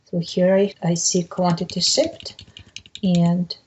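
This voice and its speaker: A woman presenting a software webinar, explaining a step-by-step demonstration in a methodical way. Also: on the low side, level tone, takes it slowly, dull audio, slight background noise.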